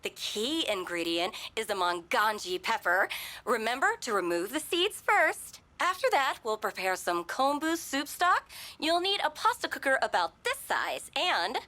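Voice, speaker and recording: A woman playing a brisk cooking instructor giving recipe steps in a video game. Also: a little high, sing-song, bright, forceful tone, full character voice. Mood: instructive.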